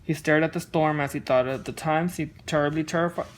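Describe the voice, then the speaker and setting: A woman reading a children's story aloud and offering casual, gentle encouragement as a parent. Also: low-pitched, steady tone, a little fast, a little hiss or hum. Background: music.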